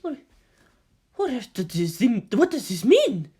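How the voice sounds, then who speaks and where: A man's voice: very high-pitched, huge pitch swings, slow pace, dark tone, husky, voice pushed up, heavily acted. A man voicing a shaken, deferential ambassador in a story reading, hesitant and mumbling. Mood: bewildered.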